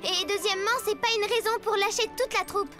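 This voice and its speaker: A woman's voice: very high-pitched, fairly even intonation, brisk. A woman voicing a bossy, emotional young girl in a cartoon, scolding and exclaiming. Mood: cross. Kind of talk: animated cartoon acting. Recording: loud music under the voice.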